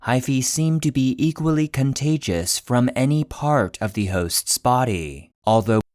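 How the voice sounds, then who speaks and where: Slow, measured male narrator. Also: mid-range voice, darkish tone, dry recording, studio quality. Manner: measured, clinical, serious. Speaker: podcast narrator and producer. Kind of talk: scripted narration of fictional clinical case file.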